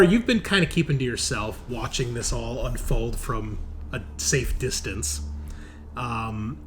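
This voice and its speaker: A man's voice: mid-range voice, a bit bright, slight background noise. A man narrating a tabletop roleplaying game as game master, in a casual, sweary, explanatory way.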